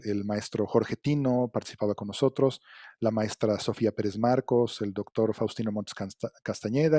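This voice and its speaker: A middle-aged man giving an academic lecture, measured and explanatory, often checking in with "¿no?". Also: mid-range voice, warm tone, no echo, clean studio sound. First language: Spanish. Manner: measured, explanatory, with frequent fillers and "¿no?" tags.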